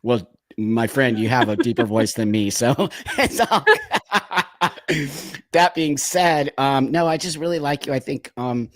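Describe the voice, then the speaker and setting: A man's voice: medium pitch, lively intonation, slow, no echo, studio quality. Effusive rambling man hosting a live stream. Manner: effusive, rambling, laughs often. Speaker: host. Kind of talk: casual live-stream chat.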